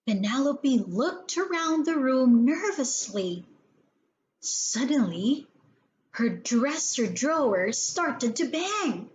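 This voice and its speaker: A woman narrates a children's story. Her voice is very high and lively, and she reads very slowly. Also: a little hiss or hum.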